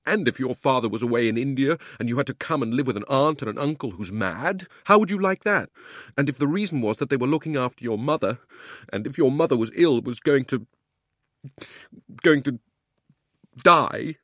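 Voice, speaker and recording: A man acts out a young country boy in a storybook, miserable but defiant. He speaks emphatically, all wound up, with lively pitch. The sound is dry and close. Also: fairly quick, no room sound. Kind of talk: storybook character reading.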